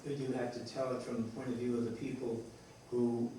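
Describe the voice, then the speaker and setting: A man speaking as a playwright in a rambling discussion, haltingly searching for words and correcting himself. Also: medium pitch, level tone. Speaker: playwright discussing his play. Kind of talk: rambling post-show discussion. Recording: echoey room, distant mic, faint noise.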